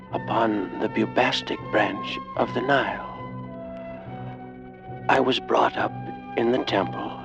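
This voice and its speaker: An actor playing an ancient Egyptian priest, lovelorn and menacing, speaking slowly and solemnly in an old-time radio drama. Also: male voice, on the low side, vocal fry, full character voice. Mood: grave narration. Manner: slow, solemn, murmuring delivery. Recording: muffled old radio recording with music.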